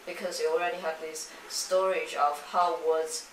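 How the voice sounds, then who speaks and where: A woman's voice: low voice, little pitch movement, moderate pace. A young woman from China, speaking English as an in a reflective documentary interview, searching for her words. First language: Chinese. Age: young adult. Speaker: poet and singer. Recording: roomy, distant mic, faint noise.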